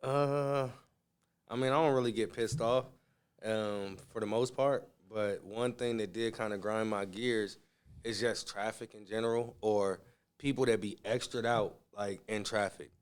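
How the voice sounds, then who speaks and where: Man, from Southern California, low-ish smooth voice, interview guest, casual, laid-back, slangy. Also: steady tone, a little slow. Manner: laid-back, slangy, often says "you feel me". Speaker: interview guest, musician and entrepreneur. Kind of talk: casual conversational interview answers.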